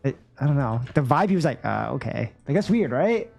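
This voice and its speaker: A woman with a low voice gives casual live commentary on a game. She is chatty, thinking aloud in questions, with faint music behind her. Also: sing-song, fairly quick, gravelly, darkish tone. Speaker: game streamer.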